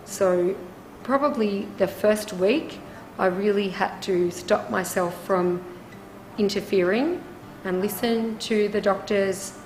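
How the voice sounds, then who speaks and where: A woman's voice: medium pitch, takes it slowly, a little mellow, slight background noise. An Australian woman volunteering at a hospital, reflecting thoughtfully in an interview. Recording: roomy sound with music behind.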